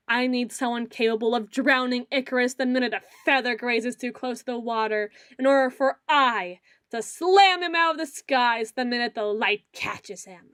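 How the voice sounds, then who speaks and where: A woman playing a melodramatic self-styled female dark lord in an ASMR roleplay, pompous and theatrical, grandiose yet a little awkward. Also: a little high, no room sound, clean studio sound, close to an everyday voice. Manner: pompous, theatrical, mock-archaic phrasing with awkward hedging.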